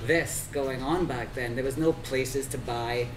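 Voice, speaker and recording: A man's voice: medium pitch, steady tone, measured pace. A man from Scotland, a fire performer, talking casually and animatedly with lots of fillers in an interview. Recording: echoey room from far off with crowd noise.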